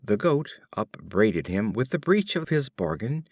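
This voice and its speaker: Slow, very animated male storyteller. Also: lowish voice, breathy edge, no echo, studio quality. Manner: deliberate, theatrical. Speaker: audiobook reader. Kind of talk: expressive storybook fable narration.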